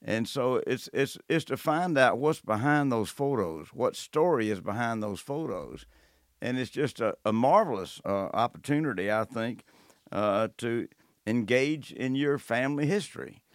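A man hosting a casual chat, earnest and reflective, with a halting delivery. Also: medium pitch, animated, no echo, studio quality. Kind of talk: casual conversation.

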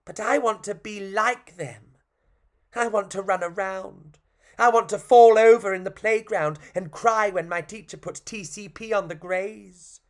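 A man's voice: high-pitched, measured pace, voice pushed up. A man voicing an eager, naive young wooden puppet boy in a children's story. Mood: earnest pleading.

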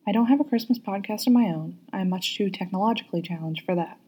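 Mellow, husky woman reading a story aloud. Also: medium pitch, fast talker. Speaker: guest reader, public school teacher. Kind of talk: read-aloud storybook narration.

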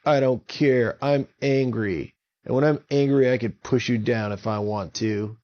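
A man playing a furious, defiant boy in a spoken kids' story, loud and snapping with a gravelly edge. The recording sounds dull and lo-fi. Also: medium pitch, takes it slowly, voice pushed up. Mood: angry, defiant. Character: angry boy Avon, rude and defiant.

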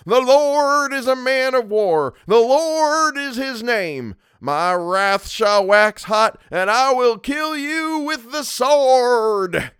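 A man voicing a wrathful church minister who bellows scripture in a slow, thunderous declamation. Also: very high voice, animated, fairly bright tone, clean tone, no echo, studio quality, voice pushed up, full character voice.

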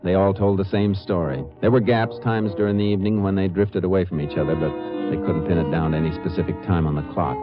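An American man playing a calm, dogged Texas Ranger in a 1950s western radio drama, questioning in a measured, probing way. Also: low voice, close to an everyday voice. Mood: steady narration. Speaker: lead actor and narrator. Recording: muffled old radio broadcast, music.

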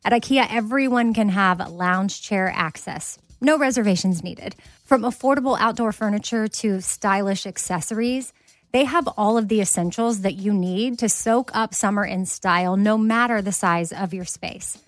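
A woman's voice: mid-range voice, normal speed, no room sound. A woman, hosting a podcast in an upbeat, chatty way. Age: 30s. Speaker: podcast host. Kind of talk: casual interview chat.

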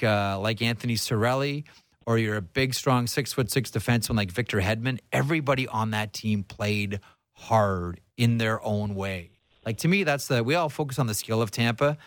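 A man's voice: on the low side, no echo. A man hosting a sports talk show, giving conversational analysis emphatically and repeating phrases for stress.

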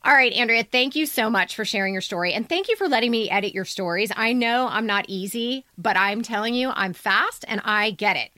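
A woman's voice: fairly high pitch, animated, a little fast, few pauses, pushed and bright, dry recording, clean studio sound. A woman co-hosting a podcast as a story editor, talking in a direct, emphatic, conversational way.

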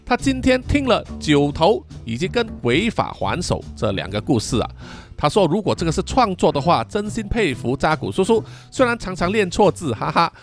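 A man's voice: a little high, sing-song, moderate pace, soft background music. A man, middle-aged, hosting a podcast in a casual, chatty style, reading out and answering listener comments with frequent sentence-final particles. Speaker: podcast host.

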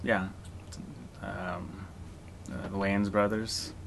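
A man co-hosting a podcast, cracking dry, deadpan jokes in casual banter. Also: low-pitched, extremely slow, vocal fry, a little hiss or hum. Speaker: podcast co-host. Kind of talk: casual banter recording outtakes.

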